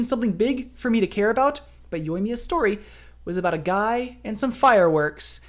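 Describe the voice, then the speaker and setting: Emphatic ranting woman streamer. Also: mid-range voice. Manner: emphatic, repeats phrases for emphasis. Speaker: game streamer. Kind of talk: ranting gaming commentary.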